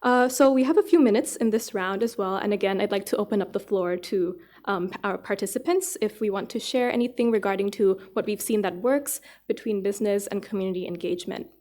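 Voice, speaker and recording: A woman's voice: mid-range voice, brisk, hardly any pauses, a little mellow. A woman moderating a forum discussion, summing up remarks and speaking off the cuff. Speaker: session moderator. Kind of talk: spontaneous moderating of a panel discussion.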